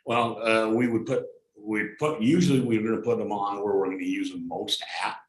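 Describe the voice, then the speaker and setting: Staffer, male, very deep voice, plainspoken and halting, informal meeting explanation, echoey room with background noise. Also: fairly even intonation. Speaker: school district transportation staffer. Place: indoor school board meeting room.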